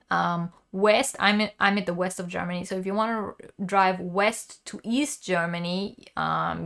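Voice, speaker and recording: A woman's voice: medium pitch, gravelly, pushed and bright. A woman hosting a casual livestream chat, talking in a rambling, chatty way with many restarts. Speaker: crochet livestream host reading viewer comments. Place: lives in western Germany near the Dutch border.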